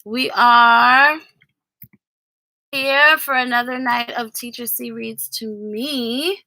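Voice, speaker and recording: Woman, fairly high pitch, frequent vocal fry, children's teacher, instructive, casual livestream talk. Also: steady tone, slow pace, sharp tone, dry recording, low-quality recording. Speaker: host, children's reading teacher. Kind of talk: casual livestream chat and phonics lesson with a child. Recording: music playing over the voice. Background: music.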